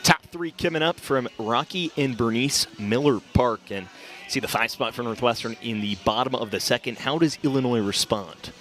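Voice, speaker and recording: A man calling live baseball play-by-play, reciting stats in measured phrases. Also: medium pitch, a bit bright, faint music behind. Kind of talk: live sports commentary.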